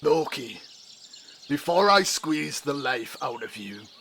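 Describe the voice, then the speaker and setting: A man's voice: fairly high pitch, very animated, slow, gravelly, sharp tone, exaggerated voice. A man playing a big, dim, hot-tempered thunder god in an audio drama, blustering and menacing. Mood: angry. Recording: noticeable background noise.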